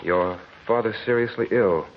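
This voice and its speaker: A man's voice: fairly low pitch, moderate pace, lightly acted. A man playing an impatient but affectionate young husband in an old-time radio drama. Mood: reassuring. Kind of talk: 1940s radio drama acting. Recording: muffled old radio recording, faint noise.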